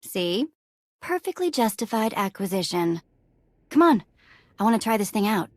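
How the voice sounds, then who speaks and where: A woman playing a snarky, gutsy thief in a video game, with a sarcastic, brisk and informal way of talking. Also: mid-range voice, very animated, no room sound, clean studio sound, lightly acted. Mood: eager. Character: snarky, gutsy female thief and tech hand. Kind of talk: video game cutscene acting.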